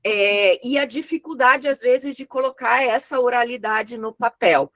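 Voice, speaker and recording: A woman whose first language is an unwritten oral language, serving as an examiner and giving frank, thoughtful spoken feedback on a student's thesis over a video call, often pausing on small fillers. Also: fairly high pitch, a bit bright, no room sound, clean studio sound. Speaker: examiner on a master's thesis committee.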